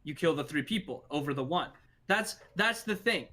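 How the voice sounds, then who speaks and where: A man's voice: fairly high pitch, animated, moderate pace, bright, forceful tone. A man in a casual, heated panel debate, insistent and argumentative as he makes his case. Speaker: discussion show panelist.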